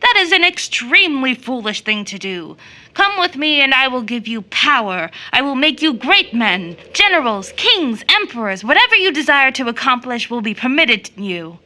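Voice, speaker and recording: A woman's voice: sits high, big pitch swings, moderate pace, sharp tone, full character voice. A woman storyteller voicing a haughty, dignified old man who offers power, speaking in an imperious, emphatic way.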